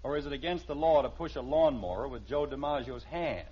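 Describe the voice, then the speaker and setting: A man's voice: medium pitch, a little fast, slightly rough, close to an everyday voice. An actor playing an exasperated, dryly sarcastic middle-aged American father in a 1950s radio sitcom. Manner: dry sarcasm, clipped demanding questions. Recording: dull, noisy old radio recording.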